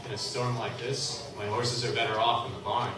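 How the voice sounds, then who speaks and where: A man playing a blunt, practical traveling tinker in a live radio play, breaking into loud angry shouts. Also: mid-range voice, steady tone, moderate pace, close to an everyday voice. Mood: easygoing. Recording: echoey hall from far off, background noise.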